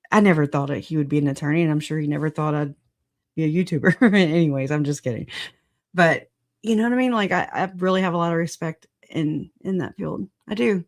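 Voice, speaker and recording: Raspy hoarse streamer, getting over being sick, chatty, laughs at themself a lot. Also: mid-range voice. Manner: laughs often, self-deprecating, chatty. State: hoarse from recent illness. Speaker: livestream host. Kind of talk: casual livestream chat. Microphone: computer mic on streaming software.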